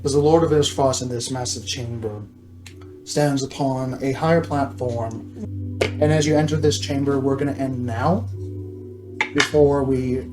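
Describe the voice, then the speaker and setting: A man's voice: mid-range voice, fairly even intonation, unhurried, over music. A man narrating a tabletop role-playing game as game master.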